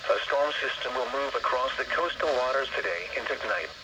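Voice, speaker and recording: Clear noise sits over a weather radio broadcast. An American man with a medium-pitched voice reads a scripted bulletin. His delivery is formal, measured and automated, like an official announcer. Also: animated. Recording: noisy weather radio reception.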